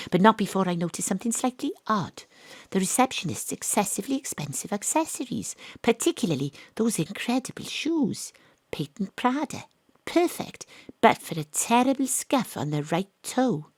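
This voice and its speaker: A woman playing a world-weary, wry private detective, narrating a noir audio drama in a deadpan way. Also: mid-range voice, normal speed, dry recording. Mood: deadpan, observant. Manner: deadpan, dry. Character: female private detective, world-weary, wry, hard-boiled ex-rugby star.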